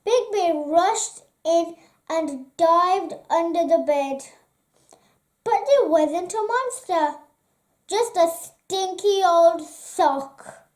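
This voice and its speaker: A gentle, expressive reader telling a children's bedtime story aloud. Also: extremely high pitch, extremely slow.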